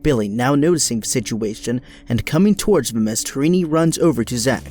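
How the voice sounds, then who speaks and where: A man's voice: mid-range voice, expressive, a little fast, a little mellow. A man giving dramatic scripted narration as the narrator of an action audio drama.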